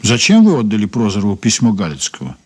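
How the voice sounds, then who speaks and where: A man's voice: on the low side, huge pitch swings, fast, slightly rough, heavily acted. A male actor playing a sober criminal investigator in a Soviet radio drama, restrained, formal and matter-of-fact. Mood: probing, terse. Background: music.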